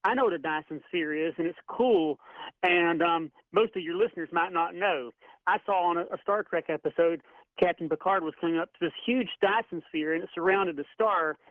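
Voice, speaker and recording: Florida guy calling in, high voice, friendly, kinda fumbles words, sounds like a phone. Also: dry recording. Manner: friendly, halting, searching for words. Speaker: caller. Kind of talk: phone-in caller. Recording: tinny phone line.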